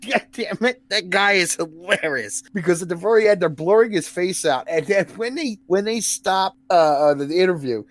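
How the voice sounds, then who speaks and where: A man's voice: high voice, normal speed, hardly any pauses, a bit bright, dry recording. A man with a New Zealand accent hosting a casual podcast, rambling through long stories with many restarts and laughing at what he describes. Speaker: podcast host.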